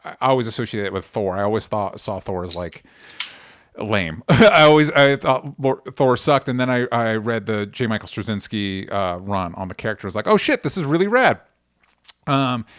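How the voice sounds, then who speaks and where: A man's voice: fairly low pitch, a bit bright. A man co-hosting a podcast, talking casually and with strong opinions, often stopping and restarting his sentences. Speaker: podcast co-host.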